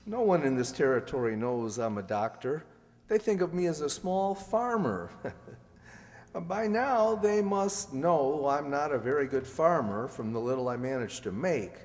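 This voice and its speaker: A man playing a weary, anxious fugitive doctor and father in an old-time radio drama, troubled and halting, on a hall stage. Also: mid-range voice, close to an everyday voice. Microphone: stand microphone. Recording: echoey hall stage recording.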